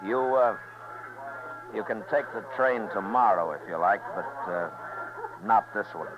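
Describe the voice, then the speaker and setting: An actor playing a stern, weary frontier marshal in a 1950s radio western, terse and firm. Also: male voice, fairly low pitch, measured pace, noisy background, natural voice. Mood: firm, threatening. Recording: muffled 1950s radio recording.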